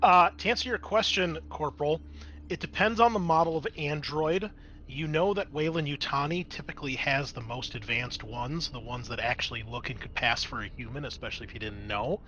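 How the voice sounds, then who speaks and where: A man's voice: on the high side, a little fast, bright, forceful tone, dull audio. A man acting as game master, explaining a tabletop roleplaying scene in a casual, conversational way.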